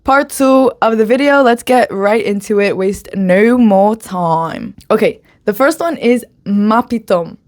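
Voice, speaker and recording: A woman's voice: medium pitch, moderate pace, few pauses. A woman giving a casual video lesson on slang, chatty and upbeat, laughing often and dropping in foreign phrases. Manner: drops in Hebrew phrases. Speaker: Hebrew slang teacher.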